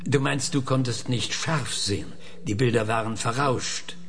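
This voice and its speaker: An actor playing a sixty-eight-year-old scientist in a radio drama, questioning in a measured, methodical and patient way. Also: male voice, mid-range voice, a bit bright, a little hiss or hum. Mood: patient, probing. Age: 60s. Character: probing, patient male senior scientist, aged sixty-eight.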